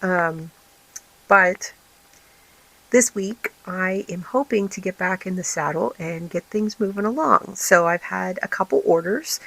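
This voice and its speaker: A woman hosting a casual vlog, her voice raspy and tired, rambling with many fillers and restarts. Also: lowish voice, unhurried, a bit bright, poor audio. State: tired, recovering from illness. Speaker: vlog host and small craft business owner. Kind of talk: casual chatty vlog update.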